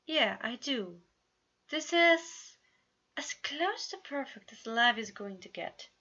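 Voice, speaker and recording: A dramatic young storybook prince, dreamy and lovestruck, acted out by a woman with a bright, lifted voice. He speaks theatrically, with sighs. The sound is a slightly dull home recording. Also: high voice, expressive, slow pace, voice pushed up, full character voice. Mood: content. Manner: theatrical, sighing.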